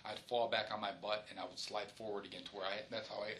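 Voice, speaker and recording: A middle-aged man answers questions in a formal interview, firm and insistent. His voice sits a little low and has a bright, forceful edge. The room is noisy behind him. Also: flat delivery, fast. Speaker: police officer being interviewed.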